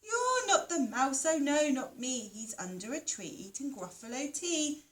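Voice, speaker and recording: A woman's voice: high voice, big pitch swings, measured pace. A woman voicing a playful, sly male fox in a storybook.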